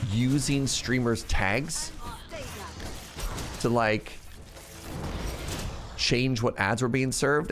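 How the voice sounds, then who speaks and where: A man streaming a game, chatting casually and rambling between laughs, sounding sniffly and congested. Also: medium pitch. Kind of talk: casual live-stream chat while gaming.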